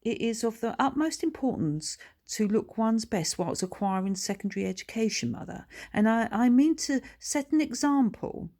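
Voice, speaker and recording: A smug young schoolboy, put on by a woman reader, suddenly terribly posh. He speaks in formal, haughty phrases at a slightly raised pitch, as in a children's storybook. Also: vocal fry. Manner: very well-spoken, formal and haughty. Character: smug, pompous, newly posh schoolboy son.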